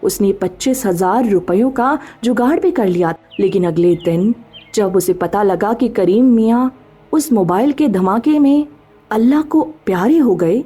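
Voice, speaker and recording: Mellow, husky woman storyteller. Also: mid-range voice, expressive, unhurried. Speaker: narrator. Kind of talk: scripted story narration.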